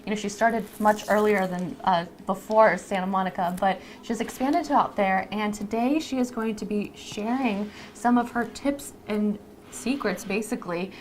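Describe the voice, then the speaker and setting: A woman co-hosts a live stream in a casual, chatty way, talking at a medium pitch. She explains things to her audience conversationally. Room ambience and noticeable noise sit behind her voice. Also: normal speed. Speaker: live stream co-host introducing a lash artist. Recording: clear background noise.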